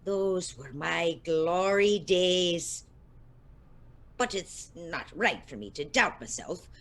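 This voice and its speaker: A woman's voice: lowish voice, lively intonation, slow. A woman playing a vain, touchy older music legend, haughty and theatrical, in a comedic audio drama. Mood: defensive.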